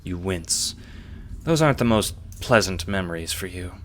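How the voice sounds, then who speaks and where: A man's voice: deep voice, animated, moderate pace, a little hiss or hum. A man reading scripted second-person narration for an audio drama.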